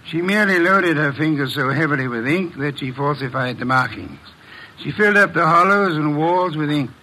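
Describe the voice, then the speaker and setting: An actor playing a pompous, exacting middle-aged detective with precise, imperious diction in a classic radio mystery. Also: male voice, fairly high pitch, moderate pace, sharp tone, a little hiss or hum, rough recording. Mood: explaining, impatient.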